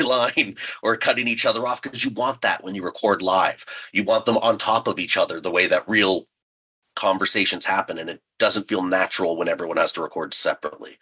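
A man's voice: fairly low pitch, bright, forceful tone, slightly rough. A man chatting effusively and enthusiastically in a casual interview, as an audio drama writer and director appearing as a guest.

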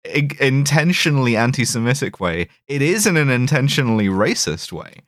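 A man chats through a film plot as a podcast co-host, with very lively pitch. He is jokey and wanders into asides, recorded close in a dry space. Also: moderate pace, no echo. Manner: jokey, digressive. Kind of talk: casual film recap chat.

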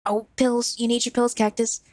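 A woman's voice: a little high, level tone, normal speed, darkish tone, no room sound, pitched above the performer's own voice, lightly acted. A woman voicing an eager, trusting young man who talks in earnest, pleading bursts. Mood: frantic.

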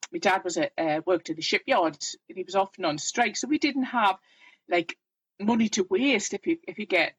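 A woman's voice: medium pitch, slightly rough, no echo, poor audio. A woman in her fifties with a Geordie accent, telling her own story in a candid, plain-spoken, self-deprecating way as an interview guest.

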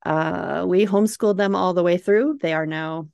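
A woman in her forties speaks with a clear Minnesota accent, chatty and easygoing, laughing between points. Her voice sits a bit low and sounds close and dry, with no room around it. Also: moderate pace, darkish tone, no room sound. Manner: chatty, laughing easily, with filler uhs. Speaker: guest. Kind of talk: casual interview chat.

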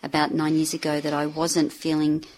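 Low-voiced woman in her fifties. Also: monotone, moderate pace, a little mellow. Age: 50s. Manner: reflective, frequent fillers and restarts. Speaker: author and speaker, guest. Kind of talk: interview conversation.

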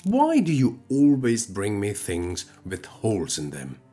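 Man playing a low, husky, grumbling mechanic. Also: wildly swooping pitch, unhurried, dark tone, soft background music, full character voice. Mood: exasperated. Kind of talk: audio drama acting.

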